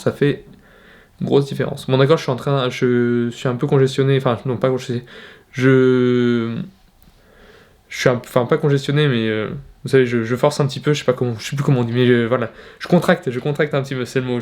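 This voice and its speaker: A man's voice: medium pitch, steady tone, a little fast, a little mellow. A young man casually talking to camera in his bedroom, hesitant, with many fillers and restarts. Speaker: fitness vlogger. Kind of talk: casual vlog talk to camera.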